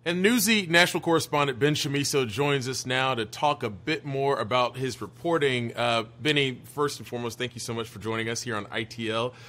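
Bright-voiced male news anchor. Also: medium pitch. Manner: brisk, conversational. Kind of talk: anchor introduction.

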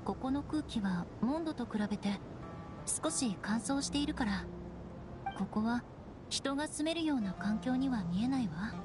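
A woman playing a cool, proud young knight in an anime game, poised and matter-of-fact in her measured delivery. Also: on the high side, lively intonation, over music, lightly acted. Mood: composed. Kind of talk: Japanese anime game acting.

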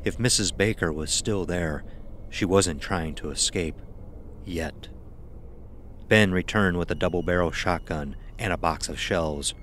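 A man's voice: low-pitched, measured pace, a bit bright, a little hiss or hum. A man narrating a scripted horror audio drama as its storyteller.

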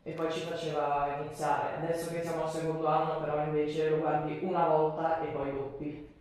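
A woman's voice: sits low, flat delivery, a little fast, darkish tone. A young adult woman chatting casually as a patient during a treatment session. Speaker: patient, voice-dubbing student. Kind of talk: casual chat. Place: small indoor treatment room. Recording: very echoey room, distant mic, noise.

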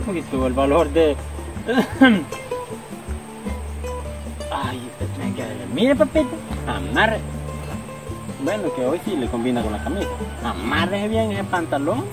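A man's voice: on the high side, sing-song, measured pace, long pauses. A young man with a Central American accent, playing a gossipy, teasing villager in an improvised amateur skit. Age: 20s. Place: outdoors on a rural dirt path. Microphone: camera a few meters away. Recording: loud music behind, outdoor camera.

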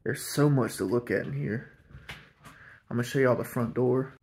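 A man's voice: medium pitch, moderate pace, a little mellow, a little hiss or hum. A man giving a chatty, enthusiastic walkthrough of an empty old house, recorded on a handheld camera mic. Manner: enthusiastic, chatty tour guide. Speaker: urban explorer host. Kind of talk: casual walkthrough narration.

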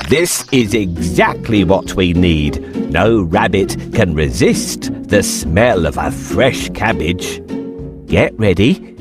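A man's voice: deep voice, animated, slow, over loud music. A man voicing a clever, courtly cat in a children's storybook, with a polite, theatrical delivery. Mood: scheming, confident. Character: clever, courtly male cat hero, helpful and scheming. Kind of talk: children's storybook character acting.

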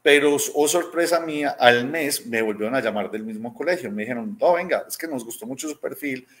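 Colombian guy from medellin, mid pitched voice, chatty interview storytelling, does the quotes as dialogue, on a video call. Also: lively intonation, brisk. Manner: conversational, acts out quoted dialogue, often tags with "¿cierto?". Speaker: robotics teacher being interviewed. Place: small room at home, indoors, video call.

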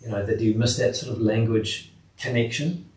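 An older man hosting a casual podcast in a living room, asking long, rambling questions with lots of gentle yeses. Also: fairly low pitch, steady tone, fairly quick. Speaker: podcast host. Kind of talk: casual interview chat. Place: living room, indoors, medium size. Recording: echoey room, from a distance.

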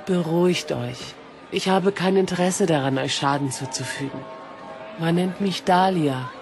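A woman playing a mysterious cloaked stranger in an audio drama, calm, cryptic and persuasive. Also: fairly low pitch, normal speed, lightly acted. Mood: calm, conspiratorial. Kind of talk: German audio drama acting. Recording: music behind the voice.

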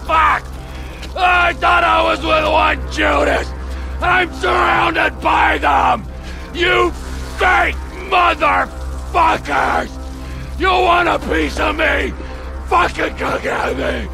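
An actor playing a volatile, sarcastic middle-aged armed robber in a video game cutscene, mocking and profane. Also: male voice, very high voice, steady tone, slow, creaky, pushed and bright, raised voice, faint music behind, exaggerated voice. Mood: betrayed fury.

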